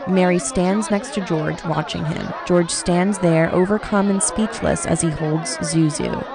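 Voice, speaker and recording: A woman's voice: on the low side, unhurried. A woman narrating a scripted radio drama, reading out the action as narrator. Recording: music and crowd noise behind. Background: music and crowd bustle.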